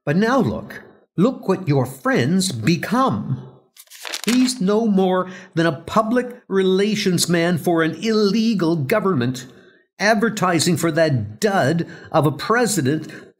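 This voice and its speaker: A man voicing a bitter, sharp-tongued middle-aged wife with shrill, scornful, drawn-out delivery. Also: very animated. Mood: scornful, accusing.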